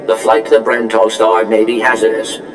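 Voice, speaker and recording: A roomy, echoing voice over clear noise: a man playing a courteous male droid aide in a video game. The delivery is formal, exact and deferential, pitched a little low. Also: monotone, moderate pace, lightly acted. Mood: formal, helpful. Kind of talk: scripted video game acting.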